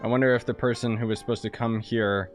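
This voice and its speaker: A man's voice: mid-range voice, fairly even intonation, quick pace. A young man giving casual, slangy live gameplay commentary, with a joking, sarcastic manner. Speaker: game streamer. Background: faint game music.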